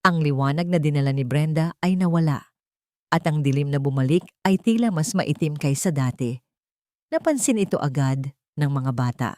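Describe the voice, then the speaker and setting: A woman narrating a scripted audiobook drama in a steady, dramatic storytelling manner. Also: deep voice, moderate pace, no room sound, clean studio sound.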